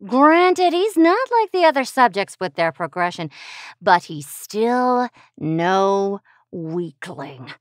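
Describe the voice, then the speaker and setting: Woman voicing a villainous mistress, mocking, theatrical, lively pitch, slow delivery, dry close mic. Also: dry recording. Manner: theatrical, condescending, laughing.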